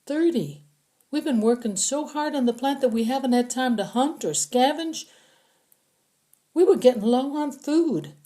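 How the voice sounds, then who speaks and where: Audiobook captive role, acted by the narrator: frightened male scavenger, raised pitch, pleading and explaining anxiously, muted tone. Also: animated, unhurried, mellow. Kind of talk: audiobook character acting.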